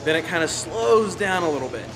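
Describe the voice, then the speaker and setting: A man's voice: a little high, lively intonation, fairly quick. Casual male review host over music. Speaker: host of a movie review show. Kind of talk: casual movie review commentary. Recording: loud music bed behind voice.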